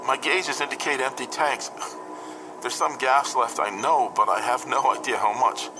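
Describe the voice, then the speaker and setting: A man acting as a frightened father at the controls of a small plane in an old-time radio drama. His mid-range voice is strained and halting, full of worry. Music plays clearly behind him. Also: mid-range voice, fairly even intonation, natural voice, low-quality recording. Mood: anxious.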